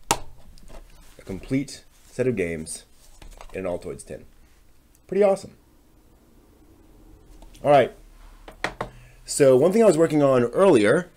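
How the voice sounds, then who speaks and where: A man with a fairly low, soft-edged voice talks through a craft project in a casual, unscripted way. He thinks aloud in tentative mutters as he works. There is faint background noise with some clicking. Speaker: craft vlog host.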